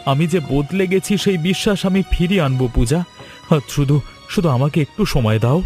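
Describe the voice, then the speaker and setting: A man's voice: fairly high pitch, lively intonation, brisk, a little mellow, soft background music, close to an everyday voice. A man voicing a remorseful, pleading young husband in a family cartoon drama, speaking earnestly.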